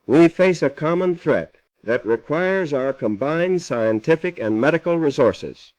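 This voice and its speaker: An actor playing a resolute American president, giving a formal, measured 1940s radio address in an American accent. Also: male voice, a little high, lively intonation, darkish tone. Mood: grave, resolute. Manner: measured, solemn, oratorical. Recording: dull vintage radio broadcast sound.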